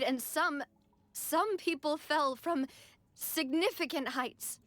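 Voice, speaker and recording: A woman's voice: sits high, fairly bright tone. An actress playing an earnest, compassionate young woman leader shaken by disaster, speaking solemnly and with composure in a video game.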